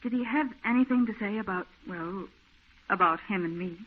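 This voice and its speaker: An actress plays an anxious young woman in love, in old-time radio drama. She is earnest and emotional, with a slightly rough-edged voice. The sound is narrow and muffled, like an aged broadcast. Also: mid-range voice, normal speed, natural voice. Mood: troubled. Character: anxious, lovelorn young woman fretting over her troubled fiancé.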